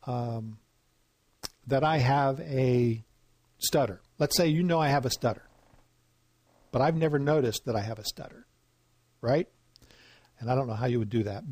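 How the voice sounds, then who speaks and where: A man's voice: mid-range voice, a little mellow, slightly rough, no echo. A man giving an informal lecture, talking in a conversational way with lots of fillers and asking "right?" to check in. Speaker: instructor.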